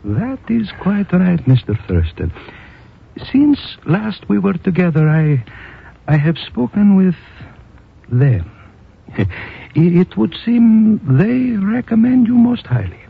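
Raspy, formal Czech resistance man. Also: high voice, big pitch swings, heavily acted. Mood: courteous. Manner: formal, deliberate phrasing with chuckles. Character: earnest Czech underground resistance man, fatherly and courteous. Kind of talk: 1950s radio drama acting. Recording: phone-like old radio recording.

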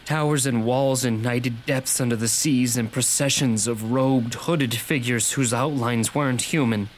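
A man's voice: medium pitch, a little hiss or hum, lightly acted, rough recording. An actor in a radio drama playing a troubled, brooding man haunted by visions of cosmic horror. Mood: haunted, awed.

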